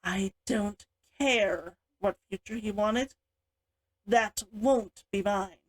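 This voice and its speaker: A woman's voice: medium pitch, slow. A woman reading the part of a proud, bitter and defiant younger sister in a storybook. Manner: defiant, challenging.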